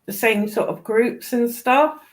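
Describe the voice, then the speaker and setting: A British woman talks casually with a slightly raised pitch. She is blunt and dismissive, using everyday slangy speech as she chats. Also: unhurried. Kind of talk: casual chat.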